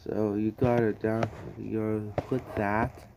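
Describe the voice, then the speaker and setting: A man's voice: lowish voice, level tone, takes it slowly, gravelly. A man giving a casual tutorial in a hesitant way, often restarting and repeating his phrases. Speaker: tutorial host. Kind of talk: casual screen-recording tutorial. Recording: loud background noise, clicking.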